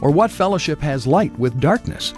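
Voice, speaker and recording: A man's voice: on the high side, animated, normal speed, a little mellow. A man hosting a radio program, reading a scripted introduction. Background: music.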